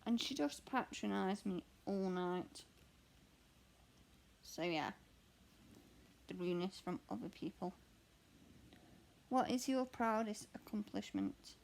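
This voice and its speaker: A young woman with a croaky voice, chatting candidly and rambling while she stitches at home. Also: mid-range voice, slow pace, pauses often, darkish tone, slight background noise, poor audio. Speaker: cross stitch vlogger and mother. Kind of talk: casual chat answering a question tag while stitching.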